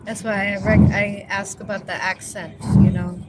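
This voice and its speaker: A woman's voice: medium pitch, level tone, normal speed. Easygoing woman chatting in a noisy car. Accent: New Jersey. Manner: easygoing, grateful, rambling with fillers. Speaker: vlogger. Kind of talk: casual livestream chat. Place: in a car on the road. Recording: loud road noise from a car. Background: vehicle noise.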